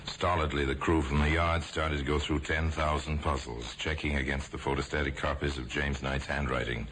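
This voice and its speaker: Grave, measured male radio narrator with an extremely deep voice and a faint hiss on the old recording. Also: little pitch movement, fairly bright tone.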